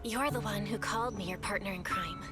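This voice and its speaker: A woman playing a spirited, loyal and stubborn young woman in a game cutscene, earnest and expressive. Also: medium pitch, fairly quick, close to an everyday voice. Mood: hurt, insistent. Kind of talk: dramatic game cutscene voice acting. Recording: loud music behind.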